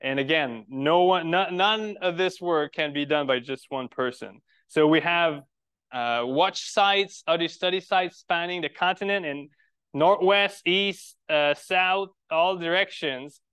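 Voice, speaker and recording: A man's voice: a little high, a little slow, a bit bright, clear, resonant tone. French-Canadian man giving a lecture. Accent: Quebec French. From Quebec. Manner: friendly, rhetorical questions, restarts phrases. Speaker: biologist giving a public talk. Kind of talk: conference lecture to a birding club. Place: lecture room.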